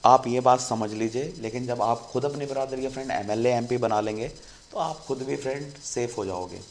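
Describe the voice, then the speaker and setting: Male channel host, casual explainer, mid-pitched, conversational, light background noise. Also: moderate pace. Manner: conversational, keeps saying "friend" to viewers.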